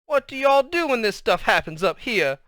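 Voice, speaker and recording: A man's voice: very high-pitched, very animated, moderate pace, sharp tone, no room sound, clean studio sound, voice pushed up, heavily acted. A man voicing a worried, tender mother with a Southern US accent.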